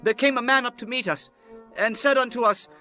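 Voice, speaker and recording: A man plays an earnest, deferential follower in a biblical audio drama. The voice is very high for a man and bright, with formal archaic phrasing and faint music behind it. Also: fairly quick. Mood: earnest reporting. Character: earnest, deferential men: messengers, pleading captain, loyal disciple. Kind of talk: dramatized scripture reading.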